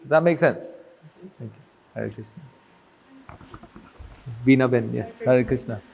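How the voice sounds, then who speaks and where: A man's voice: mid-range voice, a little slow, gravelly, darkish tone, husky, in a large hall, poor audio. A man teaching a group, answering questions with patient, reassuring explanations. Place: community hall.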